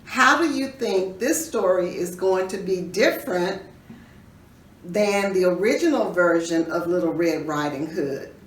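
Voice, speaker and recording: A woman reading a children's story aloud in an expressive, teacherly way, pausing to put questions to her listeners. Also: lowish voice. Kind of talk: dramatic read-aloud with questions to young listeners. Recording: roomy echo, distant mic, faint noise.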